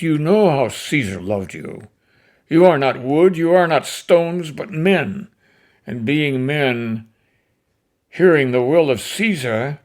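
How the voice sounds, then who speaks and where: A man reciting the speech of a grieving, persuasive Roman orator, declaiming with sudden swells and drops in loudness. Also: medium pitch. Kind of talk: dramatic recitation of a classic play speech.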